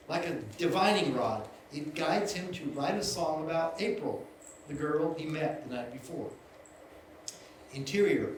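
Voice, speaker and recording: Guy reading screenplay directions live, voice a bit high, echoey room, slight noise. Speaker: screenplay narrator. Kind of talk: scripted narration of stage directions at a live table read. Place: indoor venue, live reading.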